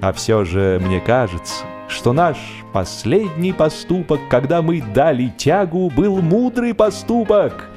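An actor voicing a jovial English gentleman giving a hearty toast in a humorous audio drama. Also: fairly high pitch, very animated, unhurried, hardly any pauses, pitched above the performer's own voice. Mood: hearty, solemn. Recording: music behind the voice.

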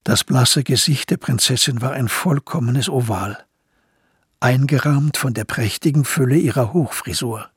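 A man's voice: medium pitch, measured pace, slightly rough, dry recording, clean studio sound. A man giving scripted narration for a historical crime radio play.

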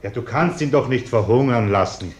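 An actor in a German radio play voicing a pompous, indignant middle-aged householder who gets flustered and repeats himself. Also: male voice, medium pitch, animated, normal speed, reverberant, lightly acted. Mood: self-righteous. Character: blustering, indignant, cowardly bourgeois householder and husband.

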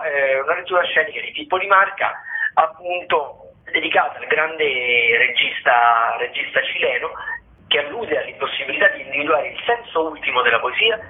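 A man with a slightly raised pitch calls in to a radio show and runs through the week's theatre listings. He talks in a hesitant way, with frequent fillers and restarts. His voice comes through a thin, tinny phone line. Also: lively intonation, brisk, a little hiss or hum.

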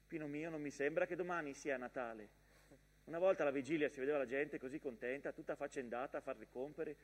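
A man's voice: on the high side, fast, close to an everyday voice. An actor playing a tender young wife and mother reading out her wartime letters on stage. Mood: tender, wistful. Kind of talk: theatrical letter monologue. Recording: long reverberant theatre hall.

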